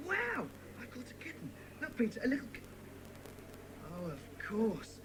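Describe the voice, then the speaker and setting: A woman playing an excitable, kindly, slightly nervous schoolboy in a children's audio drama, expressive and exclamatory. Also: a little high, unhurried, exaggerated voice, poor audio. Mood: curious, kindly. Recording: clear background noise.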